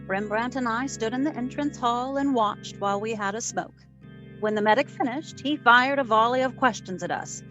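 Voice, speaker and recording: Actress as a wry high-voiced female radio detective. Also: normal speed, a bit bright, soft background music, close to an everyday voice. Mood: wry storytelling. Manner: wry, teasing, affectionate endearments. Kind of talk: old-time radio detective acting and narration.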